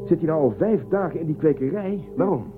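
A man playing a measured, authoritative spaceship commander in a science fiction radio drama. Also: on the high side, close to an everyday voice. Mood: commanding. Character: male spaceship commander, calm authority, questioning. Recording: lo-fi phone-like band, music behind.

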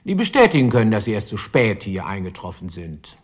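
An actor playing a curt, impatient police lieutenant interrogating suspects in a radio crime play. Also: male voice, on the low side, sing-song, brisk. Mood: irritated. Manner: curt, commanding. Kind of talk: German radio drama acting.

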